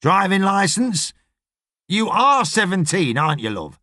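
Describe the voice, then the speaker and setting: A man acts out a shady middle-aged boss from an audiobook. He is gruff and blunt, barking irritably in a raised, animated voice with a very dry, close sound. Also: high-pitched, unhurried, dry recording, voice pushed up, heavily acted. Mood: suspicious. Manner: blunt, sweary, shouty. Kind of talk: audiobook character voice.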